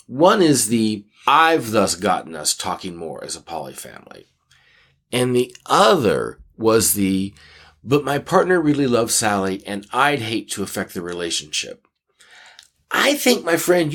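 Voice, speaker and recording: A man co-hosting an advice podcast, speaking conversationally with emphatic, deliberate phrasing and dramatic pauses. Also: fairly low pitch. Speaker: podcast co-host.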